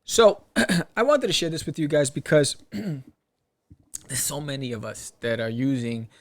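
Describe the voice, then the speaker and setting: A man with a somewhat high-pitched voice and plenty of gravelly fry hosts a podcast. He gives casual advice, reading passages aloud and commenting on them. His delivery is animated, punctuated with rhetorical questions and check-ins. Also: unhurried. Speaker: podcast host.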